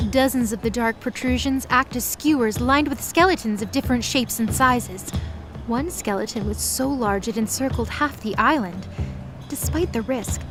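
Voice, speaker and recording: High-pitched woman narrator over music. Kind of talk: scripted audio drama narration.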